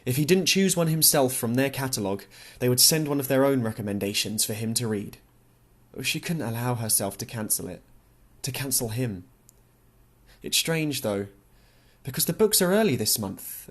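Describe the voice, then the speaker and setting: A man's voice: medium pitch, lively intonation, moderate pace. A man narrating a novel as an audiobook, reading scripted literary prose.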